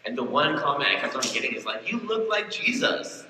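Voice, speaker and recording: A man's voice: a little high, very animated, brisk, recorded from a distance, slight background noise. A man speaking candidly as a panelist, joking and rambling, recorded from afar in a convention hall. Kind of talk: panel discussion. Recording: echoey hall, mic from afar.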